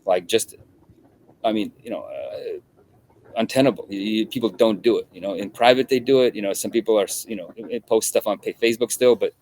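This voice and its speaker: A middle-aged man from Russia, a guest in an interview, talking in a halting way with many restarts and repeated words. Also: on the low side, a little mellow, a little hiss or hum. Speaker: guest journalist. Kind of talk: conversational interview.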